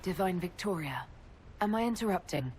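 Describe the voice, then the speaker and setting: An actress playing a courteous, steady female leader in a video game, speaking calmly and diplomatically. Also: lowish voice, normal speed, a little hiss or hum, close to an everyday voice. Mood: concerned, polite. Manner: calm, considerate, diplomatic. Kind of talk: video game cutscene acting.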